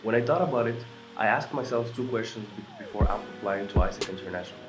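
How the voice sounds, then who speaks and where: A man in his twenties from Morocco giving an earnest, measured personal pitch, pausing between listed items. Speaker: candidate. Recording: voice over loud background music.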